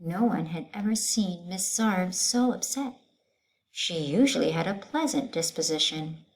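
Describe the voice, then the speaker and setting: A woman reading a children's story aloud, expressive and pausing to put questions to her listeners. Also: fairly low pitch, poor audio.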